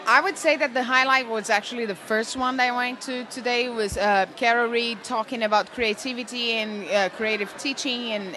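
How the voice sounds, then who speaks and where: A woman from Brazil, answering interview questions enthusiastically at a teaching conference and pausing often on "uh". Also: mid-range voice, pushed and bright. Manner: enthusiastic, with frequent "uh" pauses. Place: busy conference venue.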